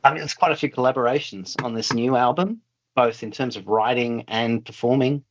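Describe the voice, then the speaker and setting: Guy doing an interview, normal pitch, chatty, rewords his questions a lot, slightly dull recording. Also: fairly quick. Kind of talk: casual conversational interview.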